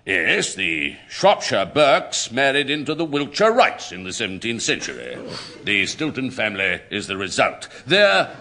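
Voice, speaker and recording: An actor playing a pompous, blustery senior civil servant with an upper-class English accent in a radio comedy. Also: male voice, medium pitch, huge pitch swings, a little slow, bright, forceful tone, exaggerated voice.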